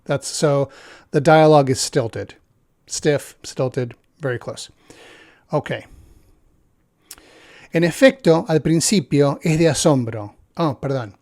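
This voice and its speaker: A man from Argentina with an Argentine accent reads a text aloud and comments on it, thinking out loud with many pauses and fillers. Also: a little high, dry recording, studio quality. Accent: Argentine Spanish. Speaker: channel host.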